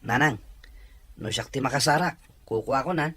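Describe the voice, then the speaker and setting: A man's voice: medium pitch, moderate pace, a bit bright, slightly rough, no echo. A man with an Ilocano accent playing an observant, teasing young son in an audio drama. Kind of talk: radio drama acting.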